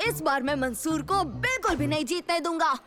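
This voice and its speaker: Very high-pitched huffy little boy. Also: lively intonation, normal speed. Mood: eager, resentful. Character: competitive, huffy young boy. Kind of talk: animated cartoon dubbing. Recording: loud music under the voice. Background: music.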